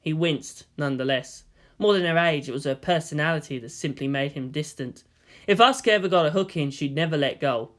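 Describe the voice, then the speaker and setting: A man reading fan fiction as an expressive scripted narration. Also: a little high, brisk.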